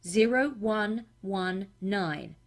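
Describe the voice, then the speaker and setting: A woman playing a brisk, focused tech operative who guides an agent in a video game. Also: mid-range voice. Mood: focused, urgent. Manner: brisk, businesslike.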